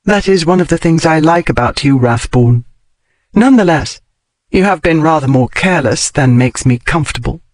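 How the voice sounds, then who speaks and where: A sharp-tongued, logical lady of a bygone era, as a male audiobook narrator puts her on, lifting his voice high and clipping each formal phrase. The sound is close and dry, with no room around it. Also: animated, moderate pace, dry recording, lightly acted. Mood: brisk. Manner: crisp, formal period speech rising in pitch. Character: blunt, logical Victorian-era lady, wry and emotional. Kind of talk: audiobook character reading.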